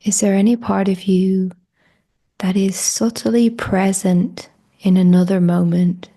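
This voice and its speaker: Hushed, husky woman guiding a meditation. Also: medium pitch, fairly even intonation, takes it slowly. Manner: hushed, breathy, often near a whisper. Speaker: meditation guide. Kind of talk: guided meditation, slow spoken invitations.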